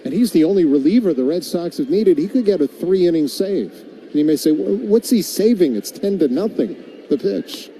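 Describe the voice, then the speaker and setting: Man, radio baseball play-by-play, very mellow dark timbre, slightly higher pitch, live game commentary. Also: lively intonation, moderate pace, slight background noise, rough recording.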